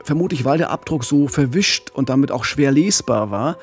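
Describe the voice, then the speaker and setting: A middle-aged man hosting a true-crime podcast, telling the story with a gravelly, husky voice on a studio mic. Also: medium pitch, lively intonation, moderate pace, darkish tone, soft background music. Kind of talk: podcast storytelling, partly scripted. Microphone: studio mic with pop filter, headphones.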